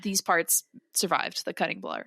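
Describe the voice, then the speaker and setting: A woman's voice: lowish voice, huge pitch swings, normal speed, creaky, sharp tone, no room sound, studio quality. A woman with an American accent co-hosting a podcast in playful, goofy casual chat. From United States. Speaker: podcast co-host.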